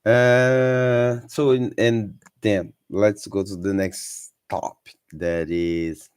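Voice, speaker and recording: Man, 30s, low-ish voice, halting learner's English, encouraging vlog tone. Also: flat delivery, a little mellow, clean tone, dry recording, clean studio sound. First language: Portuguese. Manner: hesitant, many fillers and self-corrections, encouraging. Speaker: vlogger sharing language tips. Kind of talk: casual unscripted talk to camera.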